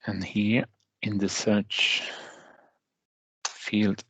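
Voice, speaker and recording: A man narrating a step-by-step software tutorial as a trainer, with halting, deliberate phrasing and hesitant pauses. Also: lowish voice, flat delivery, husky, dry recording, low-quality recording.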